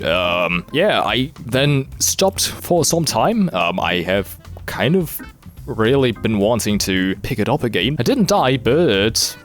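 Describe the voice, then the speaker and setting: German teen guy, lowish voice that jumps around a lot, swears constantly, rambly gaming q&a, music behind him. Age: late teens. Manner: foul-mouthed, self-deprecating. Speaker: gaming video creator. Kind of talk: casual rambling Q&A commentary over gameplay.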